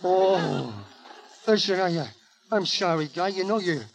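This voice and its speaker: Casting: dim, good-hearted lug of a truck driver, high voice, working-class American city accent, 1930s radio comedy. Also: male voice, lively intonation, a little slow. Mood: rueful, dazed. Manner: slangy, blustering. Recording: noisy vintage 1930s radio broadcast.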